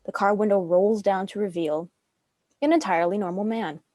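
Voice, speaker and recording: A woman's voice: mid-range voice, moderate pace, a little mellow, no room sound, clean studio sound. A woman reading stage directions as scripted narration for a dramatic script reading.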